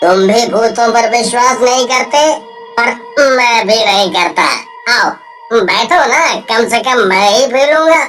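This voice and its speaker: Brief: woman as a slow-drawling, bright-toned male cartoon ghost, coaxing and vengeful. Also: a little high. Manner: slow, coaxing, prods with questioning hums. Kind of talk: cartoon voice acting. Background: soft music.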